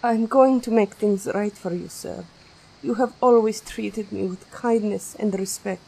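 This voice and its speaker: A woman's voice: mid-range voice, unhurried, gravelly, dark tone, slightly rough, low-quality recording. A woman playing a timid, devoted young maid in a radio play, deferential and hesitant. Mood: sad, grateful.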